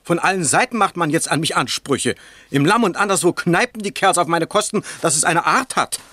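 A man's voice: on the high side, animated, fairly quick, sharp tone, no room sound. A man playing a stingy, harried political candidate in a German radio play, curt and grumbling. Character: stingy, harried male candidate haggling with backers.

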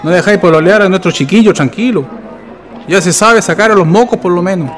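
A man's voice: sits high, brisk, natural voice. A man playing a henpecked, easygoing but complaining husband with a Chilean accent in a radio play. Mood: exasperated. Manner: exclaiming, complaining. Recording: music and crowd behind. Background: outdoor fair crowd and music.

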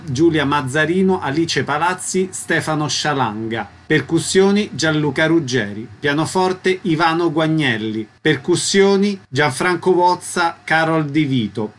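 A man presenting on the radio, reading the credits of a show in an even, matter-of-fact way. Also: fairly high pitch.

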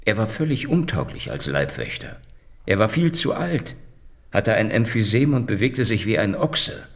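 An actor playing a sardonic, hardboiled Soviet secret police investigator, dry and probing, in a German radio crime drama. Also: male voice, on the low side, sing-song, moderate pace, creaky, no echo, full character voice. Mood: probing, weary.

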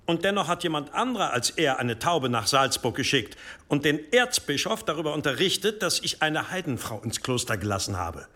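Probing abbot, dry authority, measured, fairly high pitch, animated intonation, radio play. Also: male voice, full character voice. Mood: accusing. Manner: measured, interrogating, accusing. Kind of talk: German audio drama acting.

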